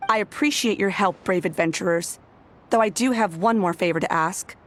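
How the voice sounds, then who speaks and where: A woman's voice: on the low side, moderate pace, a little hiss or hum, natural voice. A woman voicing a polite, businesslike bar owner in a video game, courteous and composed.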